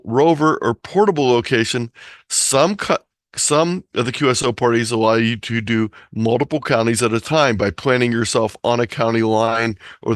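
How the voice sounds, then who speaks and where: A man's voice: mid-range voice, dry recording, studio quality. An American man giving an informal, explanatory online presentation, talking listeners through practical instructions as a presenter. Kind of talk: informal slideshow lecture on a hobby. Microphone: close computer or headset mic on a video call.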